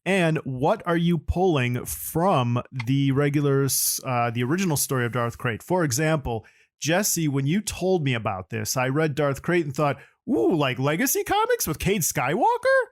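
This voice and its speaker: Man, animated swooping intonation, dry sound, casual interviewer, enthusiastic and playful. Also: a little high, normal speed, no room sound. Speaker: podcast host, interviewer.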